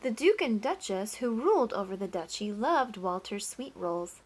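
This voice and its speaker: A woman teacher reading a picture book aloud, pausing to explain and to ask the children questions. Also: medium pitch, expressive.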